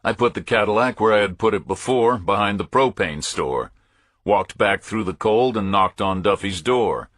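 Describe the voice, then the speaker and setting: A man's voice: on the low side, expressive, normal speed, fairly bright tone, dry recording. A man reading a first-person thriller audiobook as a scripted narrator, in terse, clipped phrases.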